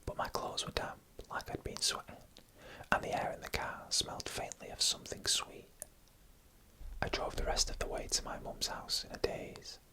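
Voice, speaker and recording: A narrator telling eerie stories in a breathy, unvoiced whisper, in deliberate short phrases for ASMR. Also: pushed and bright, rough recording. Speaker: ASMR storyteller.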